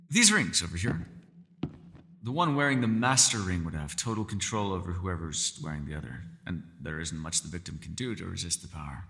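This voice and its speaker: A man's voice: low-pitched, sharp tone, long reverb tail, a little hiss or hum, full character voice. A man voicing a centuries-old elf scholar in an ASMR roleplay, courteous and meticulous, with formal wording and small hesitant stammers. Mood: grave, cautioning. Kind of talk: ASMR roleplay voice acting, one-sided dialogue.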